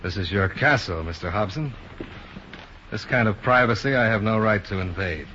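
A cool blunt private investigator, 50s radio show, dull sound with some hiss. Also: male voice, lowish voice, lively intonation, moderate pace, sharp tone. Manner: blunt, dry, clipped. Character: blunt, cool-headed male insurance investigator and narrator. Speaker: lead actor. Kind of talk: 1950s radio detective drama acting. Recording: dull, noisy 1950s radio recording.

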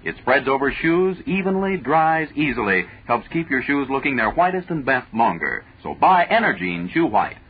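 A man's voice: mid-range voice, big pitch swings, moderate pace, hardly any pauses, slightly rough. An American man reading scripted 1940s radio advertising as a network announcer, in promotional phrasing. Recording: muffled, phone-like 1940s radio recording.

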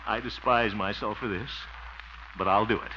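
An actor playing a flustered, self-pitying but well-meaning radio host in a 1950s American radio sitcom, muttering and exclaiming theatrically. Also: male voice, on the low side, fairly even intonation, moderate pace, natural voice. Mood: reluctant. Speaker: lead actor in radio comedy. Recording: dull, noisy 1950s radio recording.

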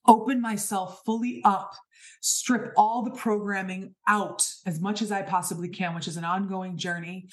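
A woman in her thirties hosting a podcast, giving an earnest, emphatic spiritual talk full of rhetorical questions. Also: mid-range voice. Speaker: podcast host. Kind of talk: inspirational spiritual monologue.

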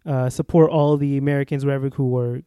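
A man's voice: medium pitch, fairly even intonation, quick pace, dark tone, smooth, no room sound, studio quality. A young man in casual conversation, earnest and thoughtful, restarting often and hedging with "like" and "I feel like". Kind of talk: casual chat.

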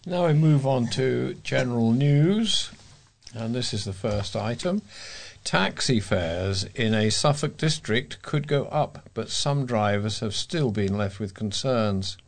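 A man's voice: medium pitch, lively intonation, slow, creaky. A British man reading local news items aloud as a volunteer newsreader.

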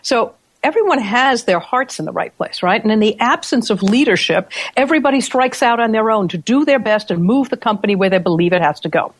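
A woman hosts a business podcast, talking through ideas in a relaxed, explanatory way. She often ends her points with little tag questions. Her voice is close and dry. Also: on the high side, a little fast, breathy edge, dry recording. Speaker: podcast host. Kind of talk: conversational business talk.

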